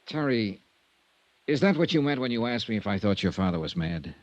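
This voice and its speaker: Male radio-drama insurance investigator, measured questioner, dry vintage low-fidelity sound. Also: sing-song, a little mellow, dry recording. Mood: calm, probing. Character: steady, probing insurance investigator, adult man. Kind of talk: 1950s radio detective drama acting. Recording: dull vintage radio recording.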